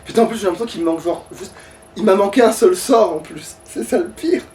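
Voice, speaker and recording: Man, let's player, rapid casual chatter, foul-mouthed grumbling, faint background noise. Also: high-pitched, darkish tone, distant mic. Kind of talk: casual gaming commentary with a friend.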